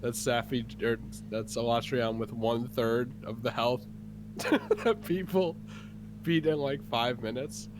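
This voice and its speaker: A man's voice: medium pitch, slow. A man with a stuffy, congested nose, rambling through gaming plans in casual voice chat. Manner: rambling, explains game plans with fillers. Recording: noisy voice chat audio.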